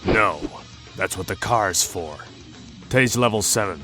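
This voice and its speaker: A man's voice: fairly low pitch, unhurried, over loud music, natural voice. A man voicing a grim masked vigilante who speaks in short, cold, commanding lines. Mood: menacing.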